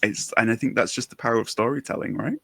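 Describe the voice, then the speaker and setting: A man hosting a podcast in a relaxed, reflective and talkative way. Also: mid-range voice, fast talker, gravelly, husky, no echo. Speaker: podcast host. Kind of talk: casual podcast conversation.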